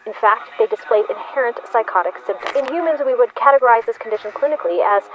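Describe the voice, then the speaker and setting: A woman's voice: a little high, lively intonation, fast, muffled recording, lightly acted. A woman playing a research scientist broadcasting a grave, formal whistleblower warning in a sci-fi radio drama, precise in her wording. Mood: grave urgency.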